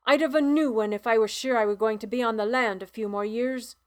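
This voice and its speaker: A woman doing a gruff old Scottish farmer. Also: fairly high pitch, a little fast, a little mellow, lightly acted. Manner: gruff, exasperated, blustery.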